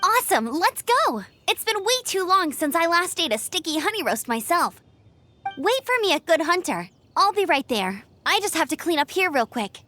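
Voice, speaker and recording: Perky, high-voiced young knight scout. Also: female voice, moderate pace, faint music behind, full character voice. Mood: excited. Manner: perky, earnest, expressive. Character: energetic young female knight scout, earnest and friendly. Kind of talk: English video game character acting.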